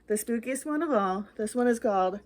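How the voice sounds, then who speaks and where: A woman reading picture books aloud as a storytime host, with dramatic, expressive narration that draws out key phrases. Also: fairly high pitch, fairly quick. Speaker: library storytime reader.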